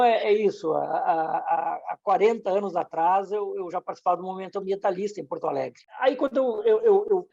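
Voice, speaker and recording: Middle aged guy, husky creaky voice, casual storytelling, trips on little words. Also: sits high, darkish tone. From southern Brazil. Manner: stammers, repeating short words. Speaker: interview guest, entrepreneur. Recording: slightly dull, muffled audio.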